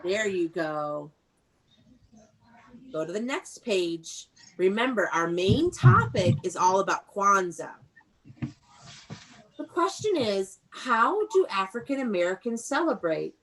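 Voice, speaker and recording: A woman's voice: medium pitch, very animated, slow, pauses often, a bit bright, low-quality recording. Woman teacher leading an online read-aloud. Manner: instructive, prompting repetition, enunciating key words. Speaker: elementary school teacher. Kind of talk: interactive online read-aloud lesson for young children. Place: indoors, on a video call. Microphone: video call.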